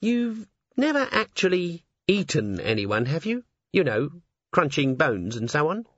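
A man's voice: on the high side, big pitch swings, measured pace, a bit bright, no room sound, voice pushed up, full character voice. A man voicing a shy, nervous young female dwarf who stammers and hesitates. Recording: slightly dull lo-fi audio.

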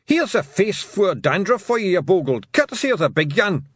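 A high-pitched, feisty tiny Scottish warrior. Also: male voice, wildly swooping pitch, measured pace, breathy edge, no echo, clean studio sound, exaggerated voice. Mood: belligerent, triumphant. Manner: shouting, exclamatory, combative. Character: tiny feisty blue warrior men, brash and scrappy.

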